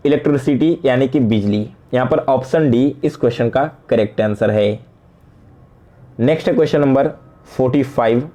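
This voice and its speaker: A young man with a mid-pitched voice teaches as an exam-prep tutor. He explains quiz questions step by step in a conversational way, posing questions and answering them himself. English words are mixed into his explanations. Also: darkish tone. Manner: conversational teaching with rhetorical questions and check-in tags; mixes English words into speech. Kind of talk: explanatory lesson, working through quiz questions.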